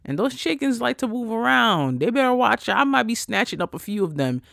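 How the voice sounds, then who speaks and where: A man hosting a casual solo podcast, ranting in an animated, profane way that swings between loud bursts and quiet asides. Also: extremely high pitch, brisk, gravelly, no echo, studio quality. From Haitian family. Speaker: podcast host.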